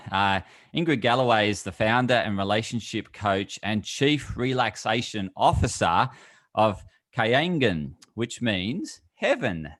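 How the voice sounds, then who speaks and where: A man's voice: lowish voice, fairly bright tone, dry recording. A middle-aged man speaking conversationally as an interview guest and wellness coach.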